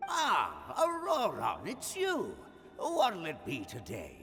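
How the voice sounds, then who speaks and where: Friendly, easygoing game character, slow delivery, hugely varied intonation, long reverb. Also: male voice, on the high side, wildly swooping pitch, creaky, pushed and bright, full character voice. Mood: teasing, friendly. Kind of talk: video game acting. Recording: long reverb, faint music.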